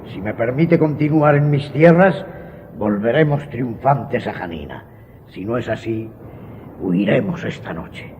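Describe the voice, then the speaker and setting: An actor playing a proud, resolute vizier father facing death, measured and solemn, in a radio drama. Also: medium pitch, animated, lightly acted. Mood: calm resolve. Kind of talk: Spanish radio drama acting. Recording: dull lo-fi audio with faint noise.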